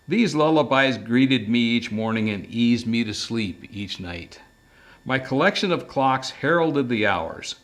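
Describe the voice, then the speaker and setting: A man reading detective fiction aloud as an author, in a measured, deliberate storytelling manner. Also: medium pitch, fairly bright tone. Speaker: author reading from own book. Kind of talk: scripted narration, audiobook-style reading of a detective novel.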